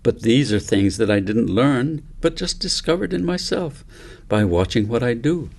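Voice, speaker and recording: A man's voice: medium pitch, animated, moderate pace, vocal fry, a little mellow. A man voicing an earnest, precocious five-year-old girl in a storybook, telling her dreams in articulate, wordy sentences. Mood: earnest, puzzled. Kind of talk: storybook character reading.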